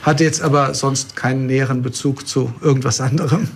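A middle-aged man from Germany, a pastor, talking about his life in a relaxed interview with dry humor and plain explanations. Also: medium pitch, brisk, gravelly, husky. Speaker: pastor and guest. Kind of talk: casual interview talk.

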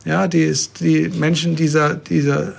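A middle-aged man from Germany, a pastor, talking about his life in a relaxed interview with dry humor and plain explanations. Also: fairly high pitch, unhurried, vocal fry. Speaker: pastor and guest. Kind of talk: casual interview talk.

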